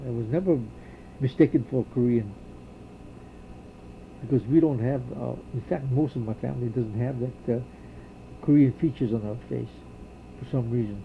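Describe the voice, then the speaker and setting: An older man from Hawaii answers interview questions, his voice gravelly with a rough edge of fry. He talks in a halting, reflective way, often pausing and starting over. The recording is muffled, with a faint hiss underneath. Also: mid-range voice. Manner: halting, reflective, many pauses and restarts. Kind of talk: oral history interview.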